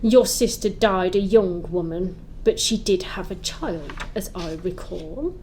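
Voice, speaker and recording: Woman as ghostly guide, calm, probing, solemn, slow delivery, audio play. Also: on the low side, a little hiss or hum, poor audio. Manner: measured, questioning, solemn. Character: calm, probing Christmas spirit guiding an old miser.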